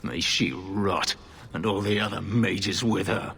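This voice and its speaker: Low, brooding, bitter elf warrior. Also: male voice, fairly even intonation, unhurried, gravelly, fairly bright tone, breathy edge, exaggerated voice. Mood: angry, bitter. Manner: terse, intense, embittered. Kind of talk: dramatic video game acting. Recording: reverberant game audio with music.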